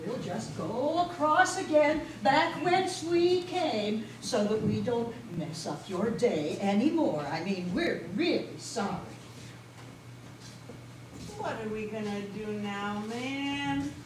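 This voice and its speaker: A man's voice: extremely high pitch, lively intonation, takes it slowly, exaggerated voice. A man on stage playing a laid-back, over-friendly young stoner with slangy, drawling speech. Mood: let down. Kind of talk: amateur readers theater stage performance. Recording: echoey hall, distant mic, clear noise.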